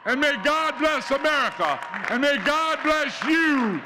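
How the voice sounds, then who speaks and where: A man with an extremely high, forceful voice delivers rousing commencement oratory. He shouts emphatically and takes his time, with a crowd audible at the live event. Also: expressive, unhurried, background noise. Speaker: commencement speaker.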